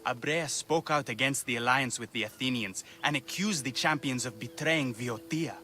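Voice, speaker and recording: Man playing a bright-voiced, grieving, vengeful man. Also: medium pitch, moderate pace, lightly acted. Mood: mournful. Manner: solemn, bitter. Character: grieving, vengeful male musician who lost his brother. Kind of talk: video game dramatic acting. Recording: faint ambient noise.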